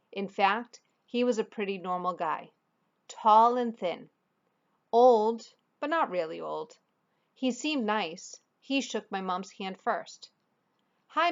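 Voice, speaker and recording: A woman reads a children's novel aloud in the role of a teacher. Her voice sits at a middling pitch. The recording is slightly dull. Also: fairly bright tone. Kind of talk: storybook read-aloud narration. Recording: slightly dull, muffled sound.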